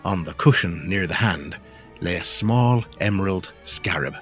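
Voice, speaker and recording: A man's voice: sits low, lively intonation, measured pace, faint music behind. A man narrating a gothic audio drama in a scripted storytelling style.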